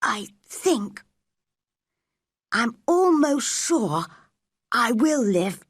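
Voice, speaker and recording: A woman's voice: a little high, big pitch swings, extremely slow, slightly rough, no room sound, clean studio sound, pitched above the performer's own voice, exaggerated voice. A woman voicing a sickly, frightened young boy with a tearful, whimpering manner. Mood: hopeful.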